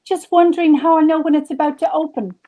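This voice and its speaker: A woman's voice: high voice, steady tone, fast, warm tone, pitched above the performer's own voice, close to an everyday voice. A woman reading aloud as a naive, anxious young pregnant woman in a novel. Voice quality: trembling.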